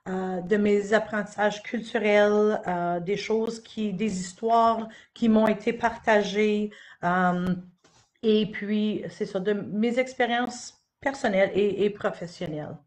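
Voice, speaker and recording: A woman's voice: medium pitch, slow pace, a little mellow. A middle-aged woman from Northern Ontario, giving an unscripted live presentation as an education officer, with frequent fillers and restarts. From Northern Ontario, Canada. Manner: hesitant, many "euh" fillers and restarts. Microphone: webcam or computer mic on a live stream.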